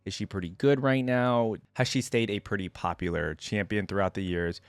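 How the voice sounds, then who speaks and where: A man's voice: on the low side, fairly even intonation, moderate pace, dry recording. A man hosting a reaction video, talking casually and thinking aloud as he reads and comments in a conversational way.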